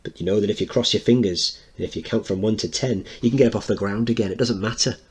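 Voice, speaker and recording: A man's voice: fairly low pitch, fast, slightly rough. A man voicing a chorus of playful children chanting a rhyme in a dramatic play reading.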